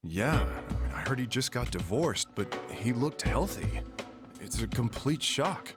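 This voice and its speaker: A man's voice: medium pitch, animated, a little slow, close to an everyday voice. A man playing a blunt, teasing best friend in his thirties in video game dialogue. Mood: grave. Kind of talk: naturalistic video game voice acting. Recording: music playing behind the voice.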